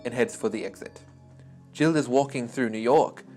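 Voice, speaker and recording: A man's voice: mid-range voice, normal speed, a little mellow. A man storyteller narrating a story as if describing scenes from a film. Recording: clear music behind the voice. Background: music.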